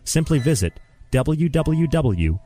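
A man reading a scripted promo in a measured, formal way. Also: a little high, sing-song, warm tone, faint music behind. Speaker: radio ad announcer.

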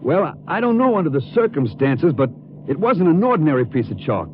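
A man's voice: on the high side, big pitch swings, fairly quick. A man playing an earnest, folksy local police detective in a 1950s radio drama, conversational and businesslike. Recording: tinny, faintly noisy vintage radio.

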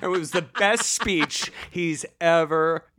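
A man's voice: on the high side, slow pace, sharp tone. A man co-hosting a comedy podcast, rambling and giggling through casual jokes with his co-host.

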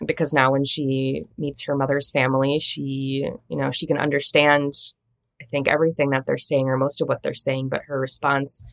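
A woman from Minnesota whose first language is Latvian talks in a low voice with a gravelly, creaky edge. She tells stories in an easygoing, reflective way, as an interview guest. The tone is conversational and unhurried. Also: flat delivery, no room sound. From Minnesota, United States. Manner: reflective, storytelling, warm laughs. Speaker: translator and editor, interview guest.

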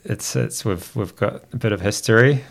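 Deep, creaky-voiced New Zealand man. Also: moderate pace. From Christchurch, New Zealand. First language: Greek. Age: middle-aged. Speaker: podcast guest, founder. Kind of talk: casual reflective interview chat.

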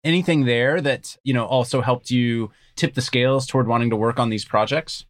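A man's voice: mid-range voice, steady tone, fairly quick. A man hosting an interview podcast, asking curious, reflective questions. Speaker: podcast host.

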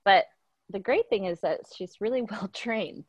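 A young woman with a high, youthful-sounding voice, talking frankly from her apartment on a call, laughing often and swearing casually. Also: normal speed, husky, no room sound, lo-fi sound. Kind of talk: casual chat.

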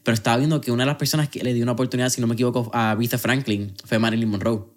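A man hosting a podcast, talking in a relaxed, conversational and reflective way. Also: medium pitch, very fast. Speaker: podcast host. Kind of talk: casual interview chat.

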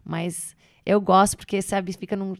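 A Brazilian woman, a stand-up comedian, talks loosely in an interview, full of fillers and stray English words. Her voice sits at a middle pitch. The sound is dry and close. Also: little pitch movement, unhurried, no room sound. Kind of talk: casual interview chat.

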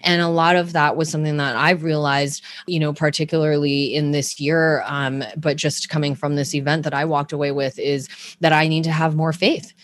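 A woman's voice: deep voice, little pitch movement, normal speed, no room sound. A woman from Hawaii co-hosting a podcast, chatting casually in a rambling way, often restarting and laughing. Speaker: podcast co-host. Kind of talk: casual chat.

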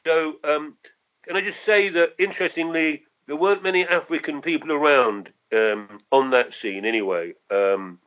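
A man's voice: a little high, moderate pace, fairly bright tone, highs cut off. A man in his sixties with a London accent, reminiscing in an interview in a reflective, hesitant way, often circling back to qualify what he says. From London, England. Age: 60s. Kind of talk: reminiscing in an oral history interview.

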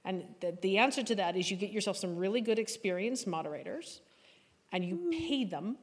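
A woman's voice: medium pitch, animated, fairly quick. A woman speaking as a panel guest, giving advice in an emphatic, articulate way. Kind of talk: advisory panel discussion. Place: large indoor hall at a live panel event. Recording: echoey hall, live event.

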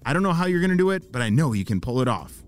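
A man plays an encouraging spy-squad boss for kids. The delivery is enthusiastic and swings in pitch, with soft music behind it. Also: big pitch swings, very fast, close to an everyday voice. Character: upbeat, encouraging male spy-squad chief. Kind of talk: children's audio story character.

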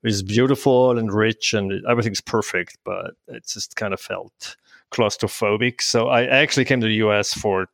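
A man's voice: lowish voice, steady tone, normal speed, vocal fry, a bit bright, dry recording, clean studio sound. A man in his fifties from Switzerland with a Swiss accent, a guest travel photographer chatting casually and self-deprecatingly. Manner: self-deprecating, chuckling, with restarts. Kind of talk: casual interview chat.

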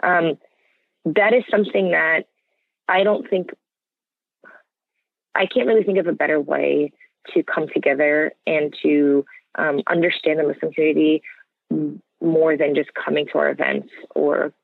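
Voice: mid-range voice, little pitch movement, moderate pace, gravelly, pauses often, no echo. An American interview guest speaking earnestly and conversationally, explaining points and often checking with "right?". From United States. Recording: narrow, tinny phone-line sound.

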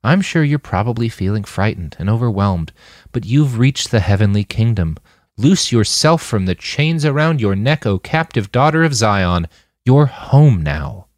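A man's voice: on the low side, animated, a little slow, darkish tone, no room sound, studio quality. A man voicing a motherly, devout middle-aged woman with a comforting manner in an audiobook. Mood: soothing. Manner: soothing, devout. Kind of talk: audiobook character voice.

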